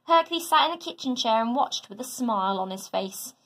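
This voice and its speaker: A woman teacher narrating a children's story aloud in a dramatic, deliberate, expressive way. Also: a little high. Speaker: teacher reading to a class.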